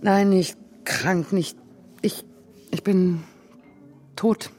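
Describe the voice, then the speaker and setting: An actress in a radio drama playing a wealthy, wounded, vengeful middle-aged woman, intense and halting, with a creaky voice. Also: on the low side, darkish tone, soft background music. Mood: desperate, pleading. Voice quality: creaky voice, often breaking into whispers.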